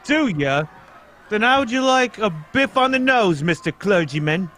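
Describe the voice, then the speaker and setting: Radio-drama street tough male actor: working-class London, cheeky, slangy, menacing, lightish pitch. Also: big pitch swings, moderate pace, a bit bright, soft background music. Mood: mocking, threatening. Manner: mocking, slangy. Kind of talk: old-time radio drama script read live.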